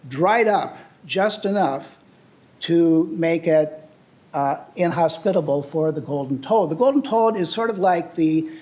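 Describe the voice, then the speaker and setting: An older man from the United States giving an earnest, explanatory public talk with slides, often pausing with "uh" and restarting phrases. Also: a little high, a little mellow. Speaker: presenter on travel and sustainability.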